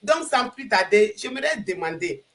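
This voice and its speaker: A woman's voice: mid-range voice, very animated, moderate pace, a bit bright. A woman from Burkina Faso hosts a live online chat, hesitating and restarting her phrases. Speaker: livestream host.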